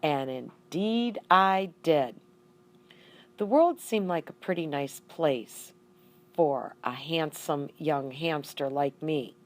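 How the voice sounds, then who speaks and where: A woman voicing an earnest, excitable young male pet hamster in a children's story, dramatic and emphatic. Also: low-pitched, slow. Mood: proud. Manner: dramatic, emphatic, repeating words for effect.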